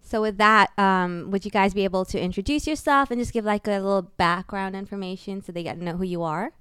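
A young woman from Kenya co-hosting a casual podcast, friendly and upbeat. Her voice is very smooth and clear. The recording is close and dry. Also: medium pitch, brisk, fairly bright tone, dry recording. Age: young adult. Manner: upbeat, friendly, light laughter. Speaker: podcast co-host.

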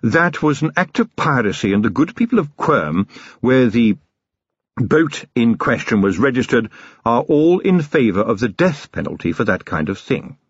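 A man's voice: mid-range voice, sing-song, normal speed, breathy edge, no room sound, lo-fi sound, voice pushed up. A man voicing a cold, ironic middle-aged city tyrant who speaks in a dry, precise, sardonic way.

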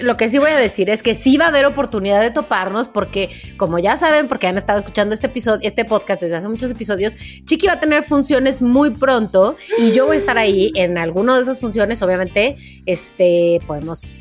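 Mexican woman, podcast co-host. Fairly high pitch, casual conversational delivery, faint music underneath. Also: animated, fairly quick. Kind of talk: casual chat among co-hosts.

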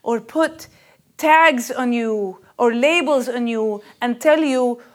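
Earnest woman preacher, rather high voice. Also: slow. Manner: intense and earnest, rising and louder on key questions; hesitant fillers, searching for words. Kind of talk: spoken sermon.

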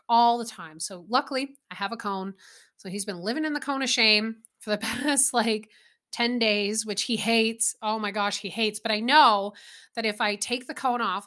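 A woman's voice: mid-range voice, moderate pace, a bit bright. A woman in her thirties hosting her own podcast, chatting casually and rambling with exasperated asides. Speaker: podcast host. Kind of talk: casual solo podcast chat.

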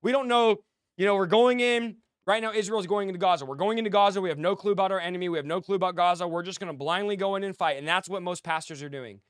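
A man's voice: high-pitched, quick pace, clear, resonant tone, dry recording, clean studio sound. An American man preaching a fiery exhortation sermon in emphatic, repetitive bursts of short, punchy commands.

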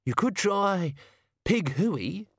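A chirpy storybook sidekick, voiced by a male narrator, lifted above natural pitch. It talks in earthy, matey phrases, loyal and irrepressible, close and dry. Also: animated, moderate pace, husky, no room sound. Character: cheeky, loyal servant hidden among sacks, chirpy, earthy.

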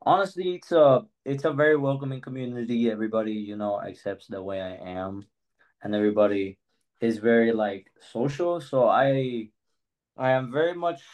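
A young man from Spain co-hosting a relaxed student talk show. His voice sits low, and he speaks earnestly and thoughtfully, pausing with fillers as he goes. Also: poor audio. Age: young adult. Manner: earnest, reflective, pauses with fillers. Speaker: co-host. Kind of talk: casual chat.

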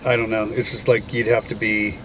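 A man's voice: fairly low pitch, level tone, fairly quick. An older man in a casual, conversational chat, talking from inside a vehicle. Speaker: guest, outdoorsman. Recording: loud vehicle and air noise.

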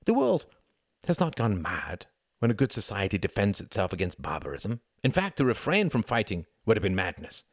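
Man acting earnest, animated working-class young man. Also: lowish voice, normal speed, dry recording, studio quality, exaggerated voice. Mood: impassioned argument. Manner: rhetorical, emphatic.

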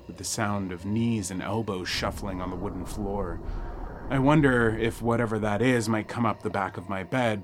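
A man narrating a first-person horror audio drama in a wry, suspenseful way with dramatic pauses. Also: low voice, slight background noise. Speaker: storyteller narrating a nightmare.

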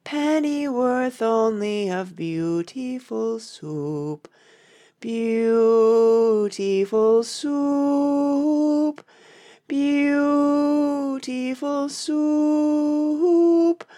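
Woman playing a very slow, mournful Mock Turtle. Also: high-pitched, warm tone. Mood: mournful singing. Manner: ponderous, mournful, sighing delivery. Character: doleful, sentimental Mock Turtle, slow and plodding. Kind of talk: full-cast audiobook character acting.

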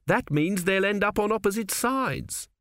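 Casting: male reader as flustered storybook court priest, raised pitch, ritual-bound, deferential, formal to a fault. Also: animated, a little slow, fairly bright tone. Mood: weak, bewildered.

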